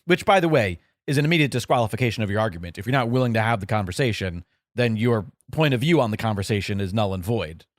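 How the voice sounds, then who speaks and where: A man's voice: fairly low pitch, animated, no echo. Easygoing male co-host who stumbles through his thoughts, recorded dry and close. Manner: halting, many fillers and restarts, easygoing. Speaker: producer and guest co-host. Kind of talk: casual podcast chat, reading listener emails aloud.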